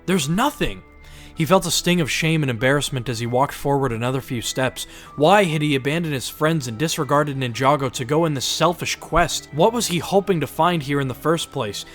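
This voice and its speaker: A man's voice: fairly high pitch, fairly quick, hardly any pauses. A man reading scripted, dramatized story narration as the narrator. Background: music.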